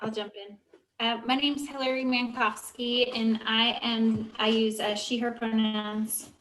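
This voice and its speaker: A woman with a fairly high voice that stays on an even, narrow line, speaking over a video call. She introduces herself to a committee in an eager, hopeful way. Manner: enthusiastic, hopeful. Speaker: school board member.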